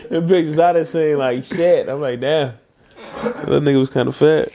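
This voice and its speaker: A man's voice: fairly high pitch, normal speed, mellow. A man co-hosting a podcast, teasing his friends in casual chat, his nose stuffed up. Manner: playful teasing, switches voices for jokes. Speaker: podcast co-host.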